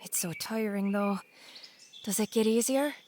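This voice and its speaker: A woman's voice: mid-range voice, measured pace, natural voice. Earnest young wife acted by a woman, birdsong behind. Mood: tentative, soft. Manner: gentle, polite but persistent. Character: earnest young new wife of a rich older man. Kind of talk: audio drama acting. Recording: faint noise, birdsong behind the voice.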